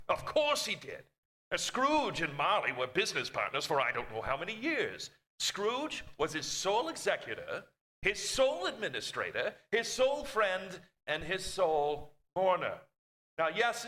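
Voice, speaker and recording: A man narrates a classic tale on a live stage, savoring every word and leaning hard on each list of adjectives. His voice is high, animated and bright. The delivery is theatrical, in the manner of old radio plays. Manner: relishing, storytelling, emphatic.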